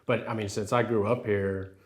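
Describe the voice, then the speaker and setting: A deep-voiced man, talking easily in an interview. He is affable and polite, fills his answers with "you know" and "yes sir," and keeps a relaxed conversational flow. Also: moderate pace. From Rio Grande Valley, Texas. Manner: affable, many fillers, says yes sir often. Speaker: title company president.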